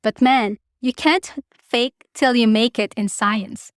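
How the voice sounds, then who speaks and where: A woman's voice: on the high side, steady tone, takes it slowly, no room sound, studio quality. A woman hosting a podcast, giving chatty, opinionated commentary and speaking casually straight to listeners. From Philippines. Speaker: podcast host.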